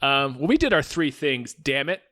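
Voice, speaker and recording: A man's voice: medium pitch, lively intonation, normal speed, fairly bright tone. A man chatting casually and jokily as a podcast co-host, with an enthusiastic manner. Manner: enthusiastic, joking. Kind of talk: casual comedic chat.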